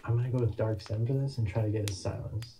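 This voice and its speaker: A man with a very dark, mellow voice mutters over team voice chat, thinking aloud about tactics. His tone stays calm and low-key, with a faint hiss behind it. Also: quick pace. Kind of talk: casual gaming chat and strategy talk.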